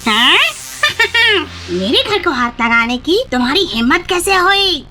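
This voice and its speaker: A woman voicing a menacing, mocking old witch spirit in a cartoon, with a shrill, cackling, taunting delivery. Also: sing-song, bright, forceful tone, slight background noise, exaggerated voice. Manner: taunting, threatening.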